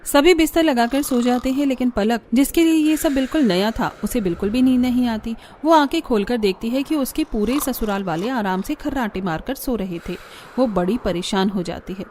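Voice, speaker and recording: Female narrator for cartoon tales: high voice, scripted storytelling delivery, faint noise behind. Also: moderate pace, darkish tone.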